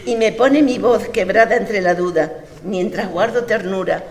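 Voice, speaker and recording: A woman with an Andalusian Spanish accent reciting poems in a measured, deliberate way. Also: medium pitch. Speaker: poetry reciter. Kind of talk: poetry recitation. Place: lecture hall. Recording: echoey hall.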